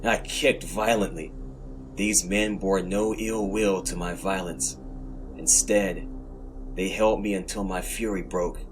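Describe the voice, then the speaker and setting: A man narrating horror fiction as host, in a scripted, dramatic and brooding manner with deliberate pauses. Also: low-pitched, steady tone, music in the background.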